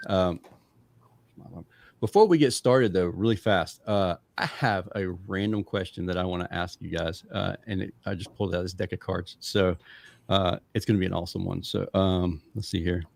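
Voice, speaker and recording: A man's voice: low-pitched, moderate pace, gravelly, a little mellow, dry recording. A man hosting a podcast, chatting loosely and jokingly with lots of fillers. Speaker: podcast host. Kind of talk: casual interview chat.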